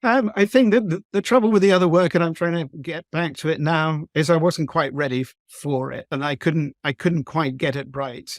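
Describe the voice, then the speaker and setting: A man from the east of England with an English accent, a novelist talking thoughtfully in an interview, pausing with frequent ums and restarts. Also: on the high side, no echo, clean studio sound. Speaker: guest novelist. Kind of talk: conversational interview.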